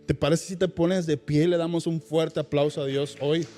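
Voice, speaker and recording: A man's voice: a little high, steady tone, moderate pace, in a large hall, music in the background. A man, preaching a sermon and leading an emphatic prayer at a church service. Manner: fervent, emphatic preaching with repeated calls of "Señor". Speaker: pastor.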